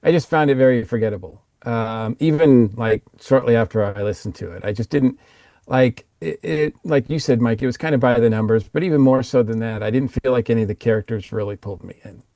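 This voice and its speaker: A man's voice: medium pitch, a little fast, mellow, no echo. Man on a podcast panel, dry close sound. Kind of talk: casual opinionated review chat.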